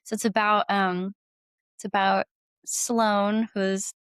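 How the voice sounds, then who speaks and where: A woman's voice: mid-range voice, little pitch movement, slow pace, clear, resonant tone, no room sound. A woman chatting casually as a podcast guest, with an enthusiastic, informal way of talking. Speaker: podcast guest, singer-songwriter. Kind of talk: casual chat.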